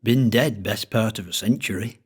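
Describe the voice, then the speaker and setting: A man voicing a secretive older collector with a thick Lancashire accent, speaking in a slow, droning way with dialect grammar. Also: lowish voice, husky. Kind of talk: audiobook character voice.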